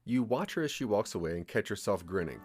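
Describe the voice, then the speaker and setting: A man's voice: on the low side, expressive, quick pace, loud music behind the voice. A man narrating a scripted second-person story as the narrator of an audio drama.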